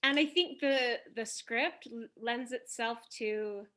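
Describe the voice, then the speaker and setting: Bright, highish-voiced woman chatting. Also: takes it slowly. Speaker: actress, panel guest. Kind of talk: casual chat.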